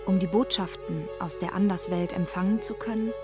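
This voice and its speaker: Low-pitched woman, solemn trailer narrator. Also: fairly quick, over music.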